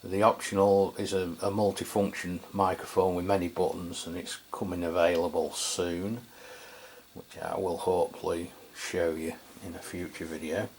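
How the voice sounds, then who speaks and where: A man with an English accent hosting an unscripted product review, talking in a halting, conversational way as he walks through the details. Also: sits low, flat delivery, a little mellow, a little hiss or hum. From England. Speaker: radio reviewer, channel host.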